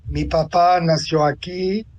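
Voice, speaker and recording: Slow-speaking man in his seventies. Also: a little high, fairly even intonation, a little mellow, highs cut off. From Tunisia. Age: 70s. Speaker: interview guest, author and translator. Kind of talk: reflective personal account in an interview.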